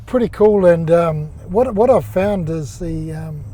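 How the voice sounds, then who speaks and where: A man with a New Zealand accent, an older sports executive chatting as an interview guest, with lots of "um"s, pauses and restarts. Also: on the high side, warm tone. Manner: halting, many fillers and restarts. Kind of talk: casual interview chat.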